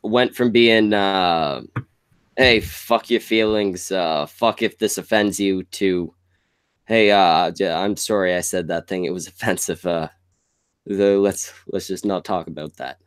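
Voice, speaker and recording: A man hosting a podcast, asking questions in halting speech full of fillers. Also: low-pitched, clean tone, no echo, studio quality. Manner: halting, many filler ums. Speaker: podcast host. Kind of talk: casual interview chat.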